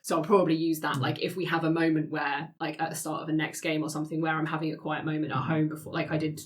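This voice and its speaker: A woman with a moderately low voice chats casually among fellow players on a roleplay podcast. She sounds agreeable and easily amused, talking informally in the flow of a group conversation. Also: flat delivery, fast talker.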